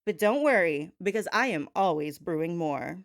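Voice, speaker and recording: A woman's voice: fairly low pitch, lively intonation, moderate pace, vocal fry, dry recording, clean studio sound. A woman hosting a gossip show in a sassy, dramatic, emphatic and slangy monologue style. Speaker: host of a gossip radio show. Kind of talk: gossip talk show monologue in homage to daytime TV hosts.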